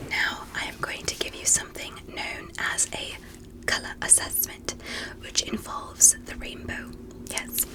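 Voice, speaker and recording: A woman whispering in an ASMR medical roleplay as a curious, polite alien doctor, speaking gently and deliberately with soft amazement. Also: rough recording. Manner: gentle, deliberate, repetitive, softly amazed at small things. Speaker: ASMR performer playing an examiner.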